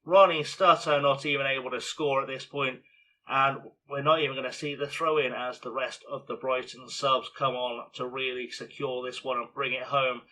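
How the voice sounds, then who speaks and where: A man with a scratchy, hoarse voice giving chatty, self-mocking play-by-play commentary on a football management game. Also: medium pitch, steady tone, moderate pace, sharp tone. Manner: chatty, self-mocking, narrates match action. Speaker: video game streamer.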